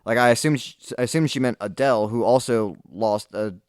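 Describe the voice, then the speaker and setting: A man's voice: mid-range voice, brisk, smooth, dry recording, studio quality. A man co-hosting a casual podcast chat, rambling through stories with many fillers. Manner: rambling, filler-heavy, animated storyteller. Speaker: podcast co-host.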